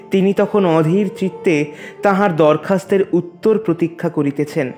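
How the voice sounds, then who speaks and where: A man narrating a literary story in a measured, expressive, formal reading. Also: sits high.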